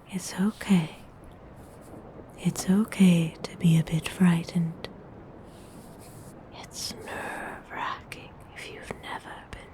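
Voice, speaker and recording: A woman playing a gentle, seductive vampire noble in a whispered ASMR roleplay, breathy, soothing and intimate. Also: fairly low pitch. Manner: slow, soothing, intimate, unhurried.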